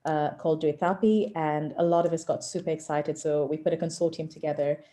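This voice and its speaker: A woman from southern Africa, an angel investor introducing herself on an online panel in a measured way over a video call. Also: deep voice, fairly even intonation, dark tone.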